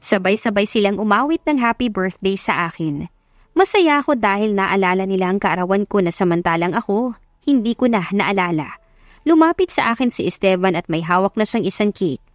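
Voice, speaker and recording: A woman's voice: medium pitch, animated, a little fast, no echo, clean studio sound. A woman reading a scripted first-person love story as an audiobook narrator.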